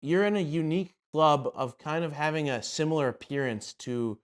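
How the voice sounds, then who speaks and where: A man's voice: fairly high pitch, normal speed. A man hosting a casual interview, talking to his guest in a chatty style. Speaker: interview host.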